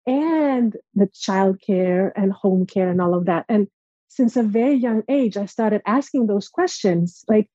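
A woman's voice: mid-range voice, unhurried, dark tone, no echo, muffled recording. A young woman from Greece telling a personal story as a podcast guest, earnest and reflective. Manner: earnest, reflective, acts out quoted voices.

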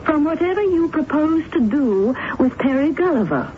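Radio drama role: haughty scolding middle-aged woman, highish voice, theatrical, thin telephone-like vintage broadcast. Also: very animated, moderate pace. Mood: earnest. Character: haughty middle-aged actress ex-wife, imperious and sharp-tongued. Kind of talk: 1970s radio drama acting. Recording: tinny, band-limited old radio, hiss.

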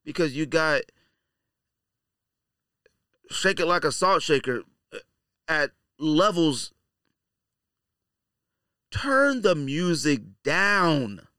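Animated, bright-voiced man ranting on a podcast. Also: fairly high pitch, drawn-out words, long pauses, smooth, no room sound, studio quality. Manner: animated ranting, slangy, repeats points for emphasis. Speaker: podcast host. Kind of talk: casual opinionated podcast monologue.

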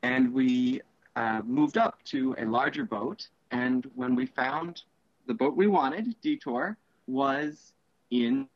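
A man's voice: mid-range voice, takes it slowly, no room sound, dull audio. Hesitant man answering as podcast guest. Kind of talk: casual interview answer.

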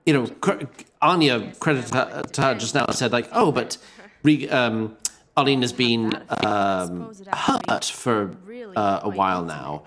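A man's voice: medium pitch, expressive, unhurried, fairly bright tone. A man giving casual voice-actor commentary in a hesitant, reflective, self-deprecating way.

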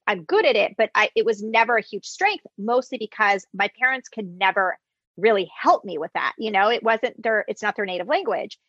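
A woman's voice: mid-range voice, bright, forceful tone, dry recording, muffled recording. A woman hosting a casual interview, chatty, with frequent restarts. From United States, Greek immigrant parents. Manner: chatty, self-correcting restarts. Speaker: interviewer, recipe creator.

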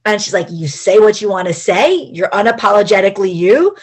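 A woman from New Jersey, a teacher, chatting as a podcast guest over a remote call, bubbly and quick to laugh. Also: mid-range voice, expressive, slightly rough. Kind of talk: casual podcast chat.

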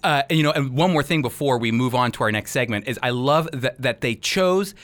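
A man's voice: mid-range voice, animated, a little fast, a bit bright. A man hosting a radio interview in a conversational style, guiding the guest and addressing listeners.

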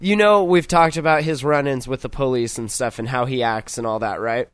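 A gruff-voiced man hosts a laid-back podcast in a mid-range voice. He rambles loosely and laughs often. The sound is dry and close, with no room around it. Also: medium pitch, fairly quick, no echo. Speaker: podcast host. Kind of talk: casual podcast chat.

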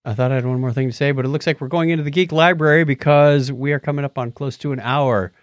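A man's voice: medium pitch, steady tone, fast talker, dry recording, studio quality. A man hosting a podcast in a casual style, with a wry, wisecracking manner. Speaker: podcast host.

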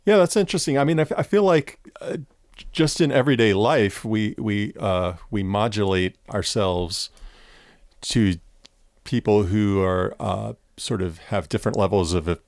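A low-voiced man asks long, winding questions in a very dry, close sound. He stammers and restarts as he searches for his words. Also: normal speed, a little mellow, no room sound. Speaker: interviewer. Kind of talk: academic conversation.

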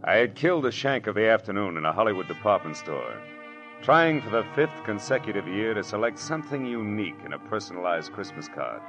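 An American man acting a wry, world-weary private detective in an old radio drama, with slangy, wisecracking delivery. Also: low voice. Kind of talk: 1940s radio drama acting, first-person narration and dialogue. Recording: dull old radio recording with music.

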